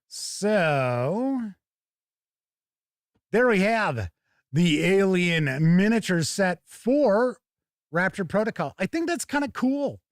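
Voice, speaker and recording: Higher-voiced American man hosting casually. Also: dry recording, studio quality. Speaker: host of a tabletop gaming livestream. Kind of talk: casual livestream chat to viewers.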